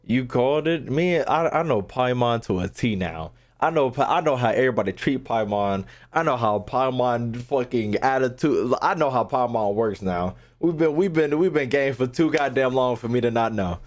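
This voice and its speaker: Slangy, excitable male game streamer. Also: mid-range voice, moderate pace, few pauses. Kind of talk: casual livestream gameplay commentary. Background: game music.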